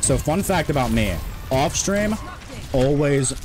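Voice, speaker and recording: A man in his twenties streaming a game, talking in a rambling, monotone way with many restarts. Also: a little high, unhurried, music in the background. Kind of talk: casual stream chat.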